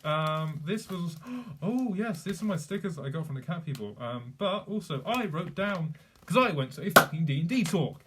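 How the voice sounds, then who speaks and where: A man's voice: fairly high pitch, a bit bright, a little hiss or hum. A young man chatting casually on a live stream, rambling, swearing freely and laughing often. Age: young adult.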